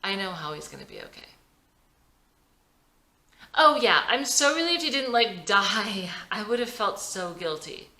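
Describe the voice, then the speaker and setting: Sincere young bandmate for a TV drama, played by a woman, casual, lively, bright. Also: medium pitch, measured pace, pauses often, roomy sound. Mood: awkward, relieved.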